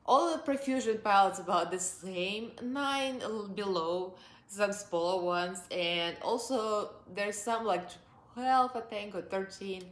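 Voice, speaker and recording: A woman's voice: medium pitch, measured pace, fairly bright tone, reverberant. A woman in her forties from Russia with a Russian accent, chatting upbeat through a makeup review from her sofa. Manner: chatty and upbeat, laughs often. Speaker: beauty vlogger. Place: living room, seated on a sofa.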